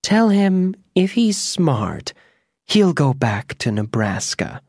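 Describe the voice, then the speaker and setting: A man doing a slow, hushed, sly witch. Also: fairly high pitch, very animated, no room sound, clean studio sound, pitched above the performer's own voice, heavily acted. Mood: silky. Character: sly, calm old witch.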